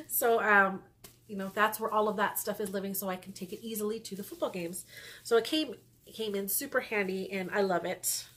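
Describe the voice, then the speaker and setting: A woman's voice: medium pitch, normal speed, a bit bright. A woman in her forties with a stuffy nose, chatting casually to camera at home about her knitting, rambling with lots of ums and little laughs. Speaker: knitting podcast host. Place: small room indoors at home.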